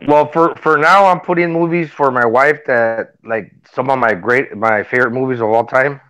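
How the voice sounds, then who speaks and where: A man's voice: mid-range voice, normal speed, a bit bright, clear, resonant tone, poor audio. Man in his forties from Mexico, rambling. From Tijuana, Mexico. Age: 40s. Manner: rambling, repeats himself. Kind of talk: casual chat, personal storytelling.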